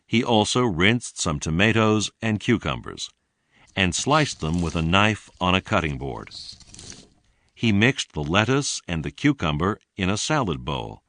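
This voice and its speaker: A man reading a scripted English lesson in a deliberate, clearly enunciated way. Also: low voice, big pitch swings, fairly bright tone, dry recording. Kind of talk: scripted read-along narration.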